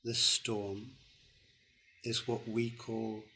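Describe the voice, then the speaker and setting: An older man in a film, reciting a philosophical text slowly and solemnly. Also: on the low side, a little hiss or hum, exaggerated voice. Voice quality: slow, measured, flat delivery. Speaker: reader in the artist's film. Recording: soundtrack of a film played back.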